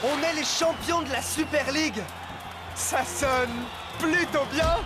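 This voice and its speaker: Young male cartoon footballer, exuberant, high shouting voice, unhurried pace, music and crowd. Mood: jubilant. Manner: whooping, shouting. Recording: loud music and crowd noise behind.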